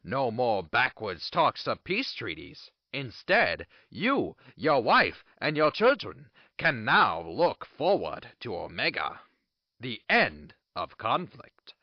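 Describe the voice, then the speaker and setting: An actor playing a zealous, pompous propaganda announcer who pitches his leader's cause like a salesman. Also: wildly swooping pitch, slow pace, no room sound, full character voice. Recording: dull lo-fi dry recording.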